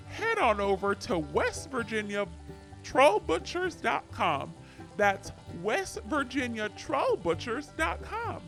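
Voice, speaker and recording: Creaky Southern troll butcher. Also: female voice, sits high, fairly bright tone, full character voice. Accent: rural Southern American. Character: folksy, sinister rural troll butcher in an advert. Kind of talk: folksy commercial parody.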